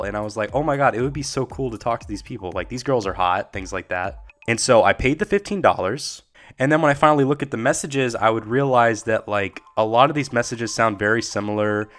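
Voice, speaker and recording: Young male YouTube host, slightly hoarse after a cold, candid rambling commentary. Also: mid-range voice, a bit bright. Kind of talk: casual commentary vlog.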